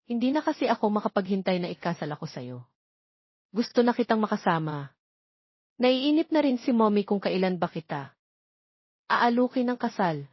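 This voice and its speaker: A woman's voice: mid-range voice, lively intonation, normal speed, pauses often, slightly rough, no room sound, lo-fi sound. A woman with a Filipino accent voicing a devoted, eager young businessman boyfriend in an audio drama. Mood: eager, pleading.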